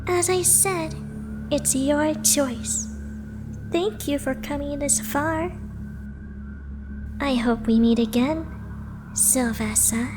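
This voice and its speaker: A woman playing a mysterious, gentle young woman guide in a visual novel fan dub, coaxing softly and reassuringly. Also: high voice. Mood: gentle farewell. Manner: soft, slow, reassuring, enigmatic. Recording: noisy ambience behind the voice.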